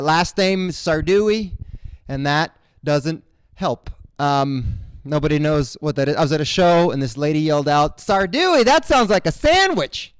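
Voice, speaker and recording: A stand-up comedian from Cuba by way of Miami, his voice high and very lively, swinging up and down as he tells his jokes. He breaks into loud shouted characters along the way. The tone is smooth and clear, recorded close and dry with no room echo. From Cuba, raised in Miami. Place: basement room at a college show.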